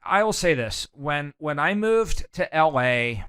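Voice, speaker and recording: Middle-aged male guest, bright and crisp tone, loud and animated, many fillers. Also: a little high, a little slow. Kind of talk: candid conversational interview.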